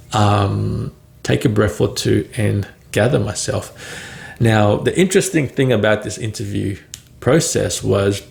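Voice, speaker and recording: A man's voice: on the low side, creaky, darkish tone. A man who stutters, talking in a casual, free-flowing vlog, with blocks, repeated words and many "right?" tags. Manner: frequent "right?" and "um" fillers. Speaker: vlogger on stuttering.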